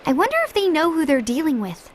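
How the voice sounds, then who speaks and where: Game girl sidekick: smart, level-headed kid, high pitch, matter-of-fact questioning delivery. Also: very animated, fast, slight background noise. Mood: puzzled. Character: clever, sensible young girl sidekick. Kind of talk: video game cutscene voice acting. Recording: loud music under the voice.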